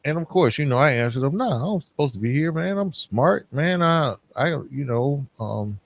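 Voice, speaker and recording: A man's voice: a little high, lively intonation, moderate pace. A middle-aged man telling a personal story casually, informal in his wording and acting out both sides of remembered exchanges. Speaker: podcast guest telling his own story. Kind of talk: casual storytelling with re-enacted dialogue.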